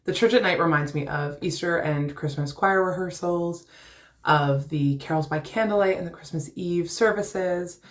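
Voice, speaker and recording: Young woman, low pitch, personal church reflection, conversational, lightly scripted. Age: young adult. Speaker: church member giving a reflection.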